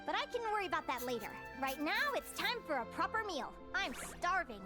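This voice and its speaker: A woman's voice: very high voice, sing-song, a little fast, over music. A bubbly young adventurer girl. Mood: cheerful. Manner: bubbly, exclaiming, giggly. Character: cheery young woman adventurer dreaming of a ship. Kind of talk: video game voice acting.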